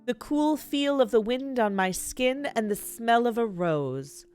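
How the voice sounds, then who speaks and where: A woman's voice: a little high, lively intonation, unhurried, a little mellow, smooth, soft background music, pitched above the performer's own voice. A woman voicing an eager, wide-eyed young noble boy wizard in a fantasy game story. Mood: dreamy, earnest.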